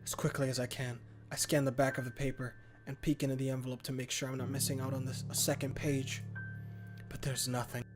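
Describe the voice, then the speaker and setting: A man hosting a gaming video, reading a horror visual novel aloud in a theatrical, expressive way with casual commentary. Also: mid-range voice, a little fast. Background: game music.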